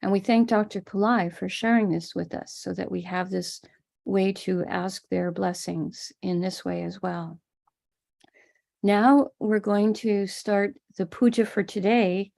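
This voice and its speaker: A woman with an American accent, gently explaining and guiding a live online ritual as a spiritual teacher. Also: mid-range voice, steady tone, measured pace, creaky. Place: home room in Florida.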